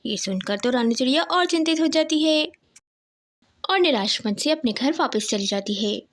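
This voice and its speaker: High, animated woman storyteller. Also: moderate pace. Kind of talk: scripted children's cartoon narration.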